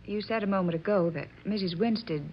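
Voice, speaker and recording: An actress playing an eager, wide-eyed and polite newcomer to séances, in an old-time radio drama style. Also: female voice, mid-range voice, a little fast, close to an everyday voice. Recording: muffled, noisy old radio recording.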